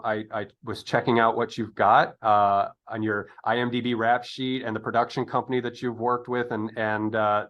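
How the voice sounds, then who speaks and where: A man hosting an interview show in a conversational style, with halting, rambling delivery and chatty asides. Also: mid-range voice, a bit bright.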